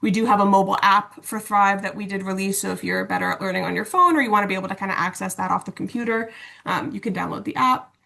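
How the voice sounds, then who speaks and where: Casual woman leading a software walkthrough. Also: mid-range voice, fast, creaky. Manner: casual, conversational explaining. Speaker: instructional designer and LMS administrator presenting. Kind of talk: informal webinar software walkthrough. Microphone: video call.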